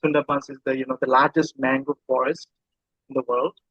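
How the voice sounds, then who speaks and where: A man's voice: medium pitch, little pitch movement, brisk, a bit bright, no echo, highs cut off. A man from Bangladesh with a Bangladeshi accent, a native Bengali speaker, talking casually as an interview guest and often repeating himself hesitantly.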